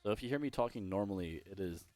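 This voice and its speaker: A young man casting an esports match alone, chatty and rambling, with his voice going hoarse. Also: fairly low pitch, lively intonation, fast talker, darkish tone, dry recording, soft background music. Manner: rambling, self-correcting, chatty. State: losing his voice. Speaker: esports caster. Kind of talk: solo play-by-play commentary.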